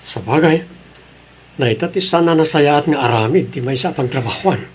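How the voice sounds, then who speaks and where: A man's voice: on the high side, moderate pace, slight background noise, close to an everyday voice. A man playing a helpful, easygoing friend who gives advice in an Ilocano radio drama, with an Ilocano Filipino accent. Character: helpful male friend of the husband, easygoing adviser.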